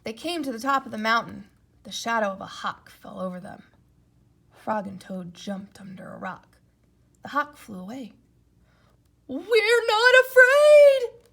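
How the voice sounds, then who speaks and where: A woman reading a children's storybook aloud as an expressive narrator. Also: medium pitch, moderate pace, vocal fry, husky. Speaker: storybook reader.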